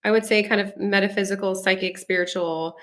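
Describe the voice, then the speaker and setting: A woman's voice: medium pitch, steady tone, fairly quick, a bit bright. A woman with an American accent and French as her first language, chatting casually as an interview guest. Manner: chatty, laughs often while talking. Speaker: podcast guest, psychic.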